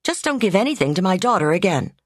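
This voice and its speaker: A woman's voice: medium pitch, big pitch swings, quick pace, no room sound, studio quality. A woman reading an audiobook voices a proud, condescending rich woman with a haughty manner. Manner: haughty, dismissive.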